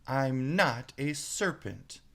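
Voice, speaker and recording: A man's voice: medium pitch, lively intonation, slow, a bit bright, pitched above the performer's own voice. A man voicing an earnest, bewildered little girl in a storybook, protesting politely and indignantly. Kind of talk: storybook read-aloud character voice.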